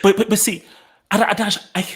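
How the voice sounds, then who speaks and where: A man hosting a casual podcast chat, arguing his point with animated emphasis and lots of repeated words. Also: sits high, fast. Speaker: podcast host.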